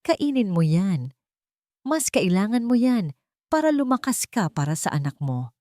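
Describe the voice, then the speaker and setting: Woman doing a soft-spoken kind old widow. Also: mid-range voice, sing-song, measured pace, no room sound, studio quality. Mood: gentle. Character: kind widowed older woman vegetable seller.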